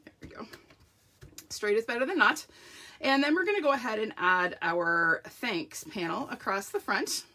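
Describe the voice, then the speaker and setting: A middle-aged woman with a croaky, sore throat, chatting through a live card-making tutorial while she works with her hands. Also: on the high side, expressive, moderate pace, bright, forceful tone. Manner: chatty, self-deprecating, talks while working with hands. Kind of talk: live craft tutorial with viewer shout-outs.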